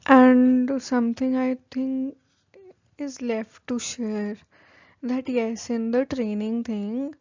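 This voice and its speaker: Young Indian woman, Hindi native, informal and full of fillers; flat tone, dull lo-fi recording. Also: on the high side, slow pace, no room sound. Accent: Indian English. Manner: chatty, many "like" fillers, informal. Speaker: vlogger giving career advice. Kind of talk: casual advice talk to camera.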